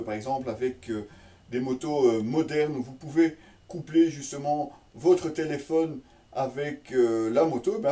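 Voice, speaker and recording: A man presents gear in a casual, unscripted way, his voice slightly high-pitched yet very dark and soft-edged. He talks haltingly, repeating words and slipping in tongue-in-cheek remarks. He sounds some distance from the microphone. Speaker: host of a motorcycle gear unboxing channel.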